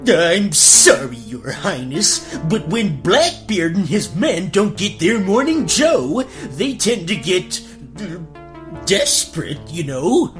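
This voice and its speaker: A man voicing a sheepish, apologetic royal messenger in a comedic fairy-tale parody, hesitant and drawn-out. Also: sits high, very animated, a bit bright, soft background music, pitched above the performer's own voice, full character voice. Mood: apologetic, nervous.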